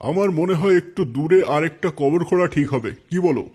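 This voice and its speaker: Man doing concerned uncle type village elder in a cartoon story, soft dark tone, bit high pitched. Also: a little fast, breathy edge. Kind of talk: Islamic moral cartoon story acting.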